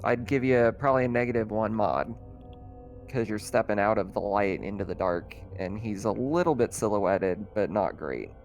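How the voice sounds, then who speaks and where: A man's voice: on the low side, normal speed, soft background music. A man, working as game master, narrating and refereeing an online tabletop game in casual, colloquial language.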